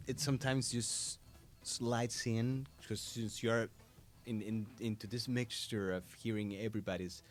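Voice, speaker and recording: A male voice actor answering interview questions in a podcast studio, measured and thoughtful with pauses. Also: lowish voice, fairly even intonation. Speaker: voice actor and audiobook narrator. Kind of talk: conversational interview. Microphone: studio mic.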